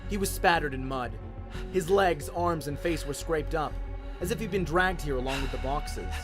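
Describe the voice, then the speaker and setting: A man's voice: on the high side, normal speed, over music. A man narrating a story in a dramatic, scripted audiobook style.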